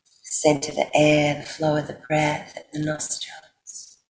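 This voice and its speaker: Very slow, low-voiced Australian woman guiding meditation. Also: monotone. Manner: soothing, unhurried, with long pauses. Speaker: yoga nidra guide. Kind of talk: guided meditation for sleep. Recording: roomy echo, faint background noise.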